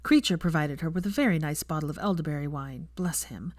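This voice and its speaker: A woman narrates a story from a script. Her voice sits a little low and the recording is dry and close, with very little room sound. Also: brisk, no room sound. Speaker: narrator of fan fiction readings.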